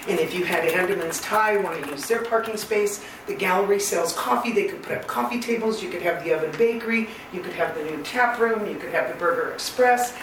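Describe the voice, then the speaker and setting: A woman with a loud voice giving a public comment at a meeting, earnest, detailed and persistent. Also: fairly low pitch. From Northern California. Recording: echoey hall, far from mic, noisy.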